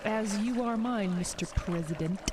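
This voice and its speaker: An actress playing a tart, impatient government official in a radio drama, giving dry retorts over storm effects. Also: female voice, medium pitch, moderate pace, background noise, lightly acted. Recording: water and storm effects behind.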